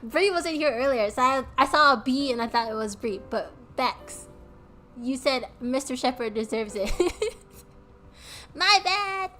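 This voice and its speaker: Woman streamer, high bright voice, bubbly and giggly, casual chat with viewers. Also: lively intonation, measured pace, soft background music. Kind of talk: casual chat with live chat while playing a game.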